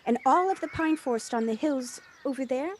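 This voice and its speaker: A woman's voice: sits high, fairly quick, lightly acted. A woman playing a chatty, outdoorsy village mother in an audio drama, with a welcoming, effusive manner. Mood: friendly, inviting. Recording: noisy home recording on personal device. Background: birdsong.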